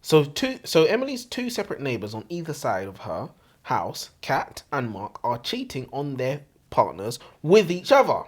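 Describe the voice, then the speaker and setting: A man's voice: medium pitch, huge pitch swings, normal speed. A man co-hosting a casual book discussion, posing questions in an irreverent, joking way. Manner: questioning, crude joking banter. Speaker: co-host of a book review show. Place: warm room, seated in comfy chairs.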